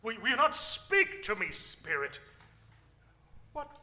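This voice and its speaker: An actor playing a frightened, repentant old miser in a staged radio play, halting, stammering and pleading theatrically. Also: male voice, very high voice, wildly swooping pitch, pushed and bright, heavily acted. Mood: pleading, fearful. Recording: live stage recording, faint noise.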